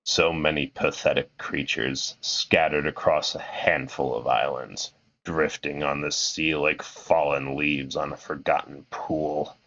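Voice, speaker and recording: A grandiose demon king drawls out his contempt, low and unhurried, as a man puts him on. The voice stays deep and theatrical, every line weighed out like a video game villain's monologue. Its tone stays bright and forceful even at that depth. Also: creaky, highs cut off, full character voice. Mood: contemptuous. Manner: slow, theatrical, melodramatic delivery. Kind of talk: reading game dialogue aloud in a put-on voice.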